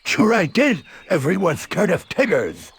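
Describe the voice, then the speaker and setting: A man voicing a boisterous, bouncy, cocky cartoon tiger with a raspy lisp. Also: high-pitched, sing-song, a little slow, exaggerated voice.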